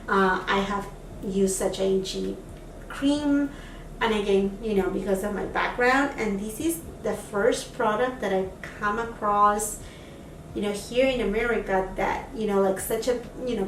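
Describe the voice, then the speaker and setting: A woman's voice: mid-range voice, a bit bright, a little hiss or hum. A woman from Peru, a beauty vlogger, chatting casually through a makeup try-on with lots of fillers, recorded on a camera mic at a distance in a home room. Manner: chatty, lots of fillers, enthusiastic about products. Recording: echoey room, voice a bit off-mic.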